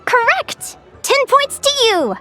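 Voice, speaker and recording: Casting: young game-world field guide, very high animated voice, perky teacher energy, faint music behind. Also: female voice, slow pace, full character voice. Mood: cheery, instructive. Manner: perky, playful, instructive. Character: young female adventure advisor, cheery, encouraging teacher. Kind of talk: anime-style video game voice acting.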